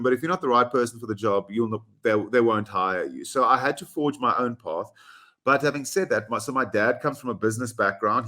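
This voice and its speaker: A young man from South Africa with a South African accent, a native Afrikaans speaker, talking as a guest over a video call in a warm, hesitant way with many fillers. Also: mid-range voice. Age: young adult. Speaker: podcast guest, actor. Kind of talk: casual interview conversation.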